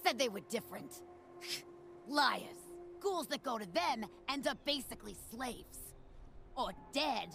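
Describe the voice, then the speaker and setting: A woman's voice: on the high side, animated, slow pace, creaky, a bit bright, soft background music, exaggerated voice. A woman playing a tough, wisecracking, jaded ghoul brawler in a video game, talking in cocky street slang with a gritty edge. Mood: bitter. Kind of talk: video game character dialogue.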